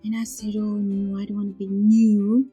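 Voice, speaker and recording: Podcast guest: Kenyan-accented woman, 40s, mid pitch, husky, chatty storyteller. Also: level tone, normal speed, warm tone, dull audio, soft background music. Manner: chatty storyteller, laughs often. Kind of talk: casual interview conversation.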